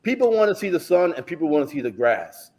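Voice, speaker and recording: A man's voice: a little high, big pitch swings, quick pace, darkish tone. Middle-aged man with a stutter. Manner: stutters, swears often, emphatic. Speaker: bodybuilding coach. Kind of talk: casual chat.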